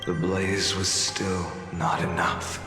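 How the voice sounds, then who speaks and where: An actor playing a weary, burdened young warrior in a game, solemn, measured and grimly resolute. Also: male voice, sits low, monotone. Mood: somber narration. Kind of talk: dramatic game voice acting. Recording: echoing voice over loud music.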